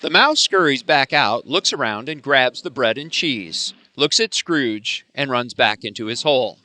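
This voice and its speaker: A man's voice: mid-range voice, lively intonation, a little slow, sharp tone. A man reading scripted dramatic narration for a radio play, describing scenes as they unfold.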